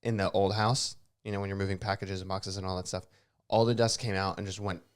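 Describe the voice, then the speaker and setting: A young man co-hosting a podcast, joking and teasing in casual chat, with a slightly raspy throat. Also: deep voice, flat delivery, fast talker. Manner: joking, playful, self-deprecating. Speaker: podcast co-host.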